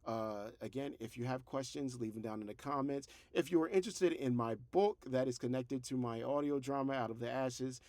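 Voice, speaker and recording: A man's voice: medium pitch, fairly quick, few pauses, darkish tone, no room sound. Man giving encouraging advice, dry close sound. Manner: encouraging, motivational. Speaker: podcast creator and host giving advice. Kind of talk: casual advice talk to camera.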